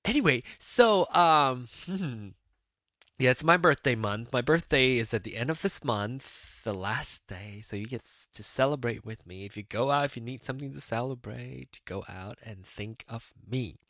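A man in his late thirties chats on his own podcast in a rambling, easygoing way, often breaking into laughter. His pitch swings widely up and down. The recording is dry and close, with no room sound. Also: fairly bright tone, no echo. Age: 30s. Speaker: podcast host. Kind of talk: casual solo podcast chat.

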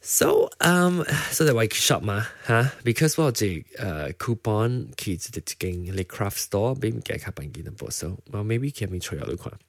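A man with a Malaysian English accent tinged with American, talking alone as the host of his own show. His voice sits deep and low, and he wanders through his thoughts with plenty of ums and restarts. The sound is close and dry. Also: very animated, moderate pace, vocal fry, few pauses, no echo. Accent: Malaysian English, partly Americanized. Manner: rambling, with many fillers and restarts. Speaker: podcast host. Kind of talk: casual solo chat.

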